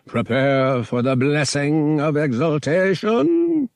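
A man voicing an alien high priest in an audiobook, solemn and ceremonial as he declaims to the faithful. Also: animated, takes it slowly, gravelly, a little mellow, no room sound, clean studio sound, heavily acted. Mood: solemn, exalted.

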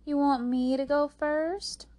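A woman reading a storybook, playing a brisk, prim sister and stage performer with an emphatic, huffy manner. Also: mid-range voice, animated, fairly bright tone.

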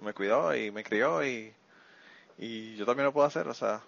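A man's voice: medium pitch, normal speed, gravelly, fairly bright tone, dry recording. A man hosting a casual conversation, friendly and given to laughter and rambling asides. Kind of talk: casual interview chat. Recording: muffled lo-fi dry audio.

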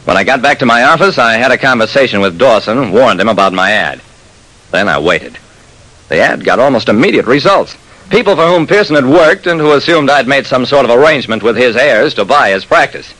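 A shrewd, wry private detective from a vintage radio crime story, played by a man. He delivers hard-boiled narration and dialogue dramatically, with very animated intonation. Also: mid-range voice, fairly quick, rough recording. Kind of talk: first-person old-time radio detective narration. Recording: vintage radio broadcast sound.

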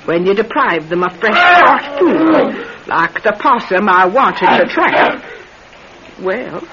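A slow-talking, noisy-radio old lady. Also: mid-range voice, sing-song. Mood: calm, reminiscing. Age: older. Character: slight old retired circus woman, meek yet sly. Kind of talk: radio drama acting. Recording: noisy, dull old radio drama recording.

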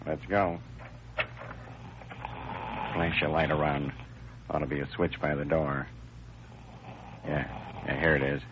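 An actor playing a terse, authoritative American police detective lieutenant in an old-time radio drama. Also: male voice, a bass voice, level tone, slow, long pauses, a bit bright, heavily acted. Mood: hushed, alert. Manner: terse, commanding, colloquial. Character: brisk, authoritative male Los Angeles police detective lieutenant. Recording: muffled, noisy old radio recording.

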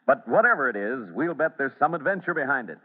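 A man's voice: mid-range voice, sing-song, quick pace. A man narrating an old radio serial in a dramatic, earnest announcer's manner. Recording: muffled, band-limited old radio recording.

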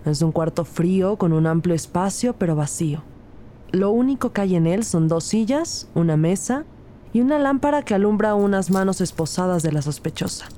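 A woman narrating a scripted audio crime drama, with loud music behind her. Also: on the low side, expressive, normal speed, slight background noise.

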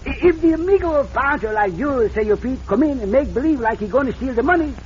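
A man acting an excitable, simple-hearted Mexican sidekick with a Mexican accent and broken, boastful English in an old radio western. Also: very high voice, fast talker. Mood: playful, scheming. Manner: broken English, calls himself by name, boastful. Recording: muffled, hissy old radio recording.

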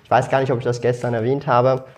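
A man's voice: mid-range voice, level tone, fast talker. A man from Switzerland with a Swiss Standard German accent, chatting casually about himself as a panelist. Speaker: panelist, finance blogger.

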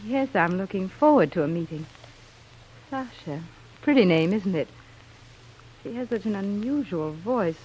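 A youthful shipwrecked widow in an old radio adventure. She speaks at a medium pitch with a sweet, ladylike poise. Her delivery is wistful and theatrical. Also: animated, a little slow, long pauses, darkish tone, slightly rough, highs cut off. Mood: wistful, poised. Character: sweet, youthful female castaway playing grieving widow. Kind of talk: classic radio drama acting.

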